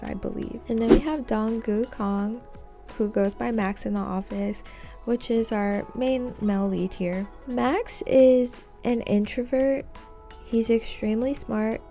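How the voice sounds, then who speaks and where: A woman's voice: mid-range voice, dark tone. A woman hosting as a VTuber, narrating a webtoon review with casual asides. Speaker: VTuber host.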